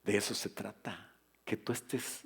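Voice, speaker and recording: A husky, lower-voiced man preaches with animated energy, joking with the congregation and then pressing his points home. He is a middle-aged pastor speaking in a church. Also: normal speed. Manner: lively preaching with humor and asides to the congregation. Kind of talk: sermon.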